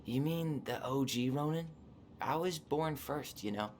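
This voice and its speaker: A man's voice: on the high side, measured pace, a little mellow, close to an everyday voice. Man acting laid-back, dry young guy, noisy capture. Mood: curious, guarded. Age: young adult. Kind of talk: naturalistic video game dialogue acting.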